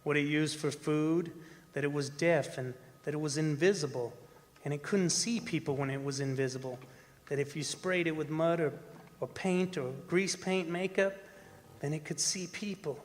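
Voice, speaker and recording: An actor playing a haunted, obsessed older oil driller, telling a horror tale in a dramatic, halting, anguished way in a stage radio play. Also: male voice, fairly high pitch, lightly acted. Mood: haunted longing. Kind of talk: old-time radio horror monologue performed on stage. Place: performing arts center stage. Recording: reverberant stage hall with noise.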